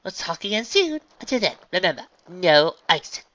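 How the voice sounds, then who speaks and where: A man voicing a refined, excitable female unicorn fashion designer in an affected, gushing, dramatic way for a story. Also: high-pitched, moderate pace, muffled recording, pitched above the performer's own voice, full character voice. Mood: encouraging.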